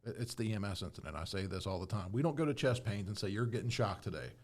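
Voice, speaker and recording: A man's voice: on the low side, lively intonation, quick pace, darkish tone. A man explaining procedures in a casual panel discussion, thinking aloud and repeating words as he goes. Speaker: podcast panelist and fire service instructor.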